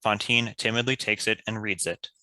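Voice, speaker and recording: A male narrator reading out script action. His voice is fairly low and forceful in tone. It is recorded dry, with no room sound. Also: steady tone, moderate pace, dry recording, poor audio.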